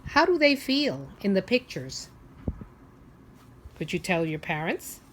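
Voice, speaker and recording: A woman teacher leading a read-aloud for young children, asking simple questions and pausing for them to think. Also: medium pitch, sing-song, slight background noise, rough recording.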